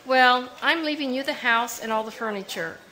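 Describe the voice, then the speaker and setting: A woman playing a composed elder sister who speaks calmly and firmly, in a live 1940s-style radio drama. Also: sits high, normal speed, poor audio. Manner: calm, firm, pleading.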